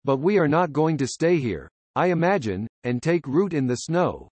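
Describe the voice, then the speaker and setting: Brief: male reader as a brash colonel, husky, raised pitch, dry. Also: expressive, normal speed, darkish tone, dry recording. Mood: impatient. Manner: brash, huffy.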